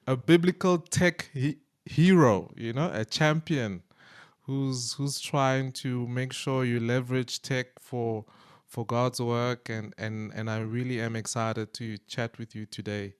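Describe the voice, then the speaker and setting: A man's voice: medium pitch, steady tone, measured pace, clear, resonant tone. A man hosting an interview podcast, speaking hesitantly with many restarts and fillers. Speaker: podcast host. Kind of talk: conversational interview.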